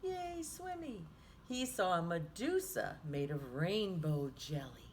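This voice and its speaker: A woman hosting children's storytime, reading a picture book aloud in an animated, sing-song manner. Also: on the low side, takes it slowly, slight background noise. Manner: animated, sing-song, with hushed asides to listeners.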